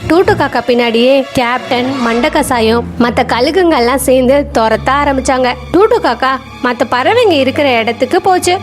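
A woman narrates a children's story in a high-pitched voice. She delivers scripted storytelling over a clearly audible music bed. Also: lively intonation, normal speed.

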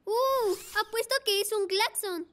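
A performer voicing an earnest, enthusiastic little boy trike in an animated children's dub. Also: very high voice, unhurried. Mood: excited. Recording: loud cartoon music behind.

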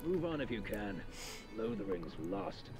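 An actor playing a grim, weary templar knight in a video game, speaking gravely and formally. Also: male voice, on the low side, big pitch swings, measured pace, over music. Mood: warning.

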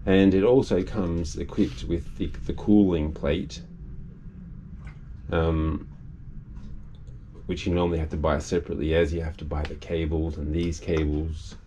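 Deep-voiced older man. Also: little pitch movement, dark tone, a little hiss or hum. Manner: unhurried, halting with restarts and fillers. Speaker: boat owner and video maker. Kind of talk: casual hands-on explainer. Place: cabin and engine room of a sailing catamaran.

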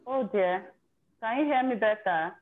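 A woman's voice: fairly high pitch, a little slow. A woman casually telling a group on a video call how she prepared for her exam, candid and chatty, often restarting her sentences. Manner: chatty and candid, with self-corrections. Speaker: participant sharing her exam success story. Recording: tinny, phone-like video call audio.

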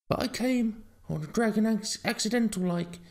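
A man voicing a flustered, guilty farm dog in a storybook, with a breathless yelping falsetto and rustic grammar. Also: moderate pace, creaky, a little mellow. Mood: flustered, whining. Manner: flustered, rustic ungrammatical speech. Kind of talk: storybook character voice.

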